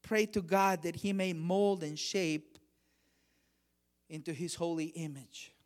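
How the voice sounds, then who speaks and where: Man from honduras giving a sermon, slow and deliberate, kinda high voice, punches key words. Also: steady tone. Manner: measured preaching, rising emphasis on key phrases; drops to a quiet, conversational tone for personal stories. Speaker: guest preacher.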